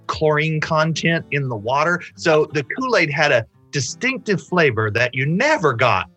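A middle-aged man from the southern United States on a video call from his home office, telling anecdotes with expressive, deliberate emphasis. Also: a little high. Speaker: storyteller, interview guest. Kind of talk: anecdotal storytelling in conversation. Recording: video call with music behind.

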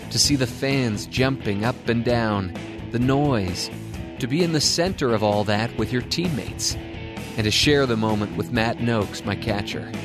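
A man narrating a scripted dramatic story as its storyteller. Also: on the low side. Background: music.

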